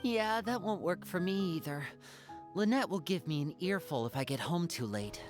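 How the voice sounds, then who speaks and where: A woman as thoughtful, animated young adventurer. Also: lowish voice, normal speed, close to an everyday voice. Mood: cheerful. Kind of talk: lively video game voice acting. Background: faint music.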